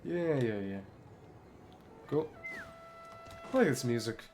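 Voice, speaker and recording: A man's voice: mid-range voice, animated, slow pace, darkish tone, faint music behind. A man doing casual game playthrough commentary, reading dialogue aloud and muttering asides to himself, with game sound behind him. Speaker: video game streamer. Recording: clear background game noise.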